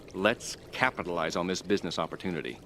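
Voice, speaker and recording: A man playing a cool, calculating crime tycoon in a video game, giving orders in a dry, measured, understated way. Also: low voice, a bit bright, a little hiss or hum. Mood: dryly calculating. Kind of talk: video game cutscene acting.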